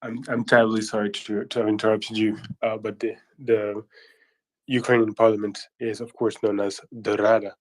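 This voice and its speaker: A man's voice: fairly low pitch, flat delivery, normal speed, poor audio. A man co-hosting a live audio chat, chiming in with polite, apologetic corrections.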